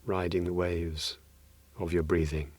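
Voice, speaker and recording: Low-voiced man guiding a meditation. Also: flat delivery, dry recording. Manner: gentle, unhurried phrasing with long pauses. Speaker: meditation teacher. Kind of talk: guided meditation.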